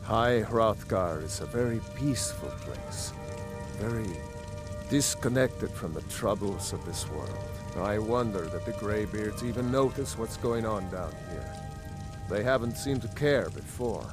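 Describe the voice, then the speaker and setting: A man's voice: on the low side, animated, measured pace, music in the background. A man playing a dignified city ruler in a fantasy game, speaking in a formal, reflective, stately manner. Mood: wistful. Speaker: voice actor in a fantasy video game.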